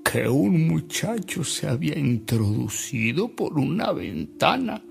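An actor playing a man who recounts anecdotes in a radio drama, with an unhurried, theatrical delivery. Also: fairly high pitch, big pitch swings, creaky, heavily acted. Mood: reverent storytelling. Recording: music bed under the voice.

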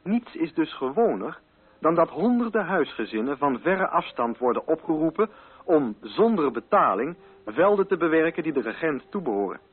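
A man reading literary narration for a radio play in a measured, formal and carefully articulated way. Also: on the high side, breathy edge, phone-quality sound.

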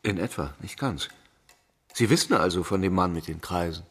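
An actor playing a weary, dogged, introspective police commissioner in a German radio crime drama, understated and measured, with a gravelly, husky voice. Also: male voice, low-pitched. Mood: probing, restrained.

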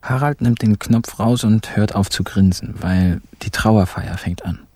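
An actor playing a laid-back, cheeky young man narrating a radio crime caper in deadpan slang. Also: lowish voice, measured pace, dry recording. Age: young adult. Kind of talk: first-person radio crime drama narration.

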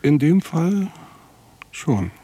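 An actor playing a bitter, evasive contract calf fattener in a radio drama, husky and blunt, with a sardonic, coarse way of talking. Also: male voice, on the high side, sing-song, drawn-out words, mellow, exaggerated voice. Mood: wary, defiant. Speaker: contract livestock farmer. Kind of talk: naturalistic radio drama dialogue.

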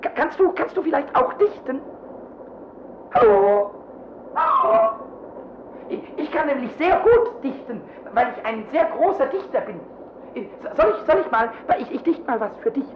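A man voicing a small, childlike, impish kobold in a German children's radio play, squeaky and scratchy, stammering excitedly. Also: moderate pace, reverberant, full character voice. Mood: eager, coaxing. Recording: muffled, noisy old radio play recording.

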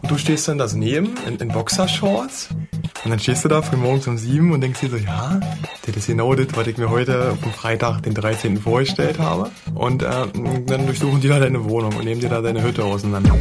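A man's voice: mid-range voice, brisk, loud music behind the voice. A man casually telling a first-hand anecdote in a wry, colloquial way.

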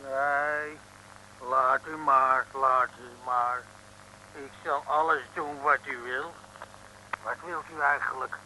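A man's voice: mid-range voice, steady tone, takes it slowly, close to an everyday voice. A man playing a lonely, timid abominable snowman who whines and pleads in a comic Dutch radio serial. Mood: meekly helpful. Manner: whining, pleading. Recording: noisy old radio recording.

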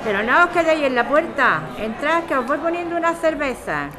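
Woman acting caring grown daughter, European Spanish, high voice, questioning, radio serial, busy crowd behind. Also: big pitch swings, fairly quick, very noisy. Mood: welcoming. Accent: Spanish from Spain. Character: caring, doubtful grown daughter who runs a bar.

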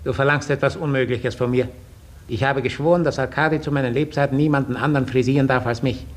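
An actor playing a haughty, cruel Russian count who lords it over serfs, imperious and formal, in a 1950s German radio play. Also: male voice, mid-range voice, steady tone, fast talker, lightly acted. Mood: stern, imperious. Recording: faintly noisy 1950s radio recording.

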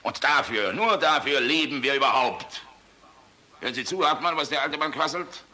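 A man's voice: fairly high pitch, animated, moderate pace, full character voice. An actor in a German radio play voicing an old, world-weary, passionate general, blustering and fatherly in a rhetorical manner. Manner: blustering, rhetorical, swinging from outbursts to hushed musing. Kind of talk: German radio drama acting.

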